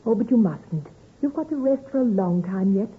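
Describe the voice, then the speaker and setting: Earnest, husky fiancée, old radio. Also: female voice, mid-range voice, lively intonation, moderate pace. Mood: pleading. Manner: earnest, emotional, theatrical pleading. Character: devoted, distressed young fiancée, earnest and insistent. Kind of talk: old-time radio drama acting. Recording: muffled, narrow-band old radio recording.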